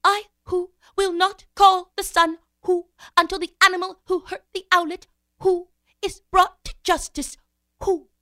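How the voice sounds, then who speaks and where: A woman's voice: very high voice, flat delivery, unhurried, sharp tone, no room sound, clean studio sound, voice pushed up, heavily acted. A woman voicing an indignant mother owl in a children's story, hooting through her words. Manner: stretches and hoots the word "who".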